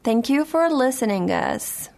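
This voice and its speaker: Measured woman radio host, slightly high voice. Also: expressive, creaky. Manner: careful, measured, with hesitant fillers. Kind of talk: scripted radio talk show discussion.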